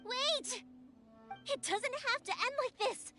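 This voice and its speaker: A woman's voice: extremely high pitch, slow, over music. A woman voicing a spirited, headstrong young fireworks maker in a video game, emphatic and impassioned. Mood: pleading, insistent. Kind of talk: English video game voice acting.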